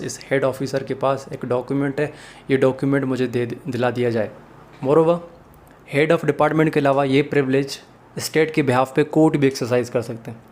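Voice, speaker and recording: A man with a Pakistani accent teaches in an explanatory, question-and-answer style. His voice sits at a medium pitch, and he drops English terms into his sentences. A light layer of ambient noise runs behind him. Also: darkish tone. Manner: code-switches between Urdu and English terms. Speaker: law lecturer.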